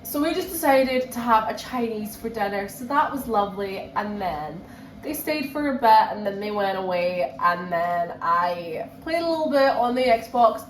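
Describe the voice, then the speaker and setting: A woman, chatting casually to camera and repeating phrases for emphasis, a bit run down with a cold. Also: fairly high pitch. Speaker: book vlogger. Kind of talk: casual chat to camera, reading vlog. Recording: echoey room with faint background noise.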